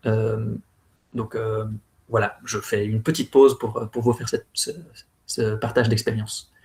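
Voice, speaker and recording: A man with a lowish voice gives an online talk about his experience. He pauses often and restarts his sentences. He is in his thirties and grew up in Belgium. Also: darkish tone. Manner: many hesitations and restarts. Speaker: speaker sharing experience. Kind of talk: online presentation, hesitant.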